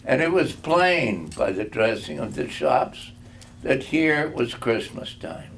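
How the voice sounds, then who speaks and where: An older man reading a storybook aloud, phrasing it deliberately with pauses. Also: mid-range voice, very animated, vocal fry, a bit bright. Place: live reading in a room. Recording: faint background noise.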